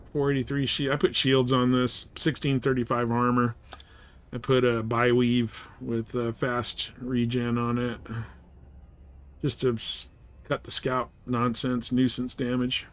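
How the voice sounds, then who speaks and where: A man's voice: medium pitch, flat delivery, a little slow, a bit bright. A man live streaming a game, chatting casually and off the cuff in rambling, jargon-filled talk. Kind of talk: casual gaming stream chat.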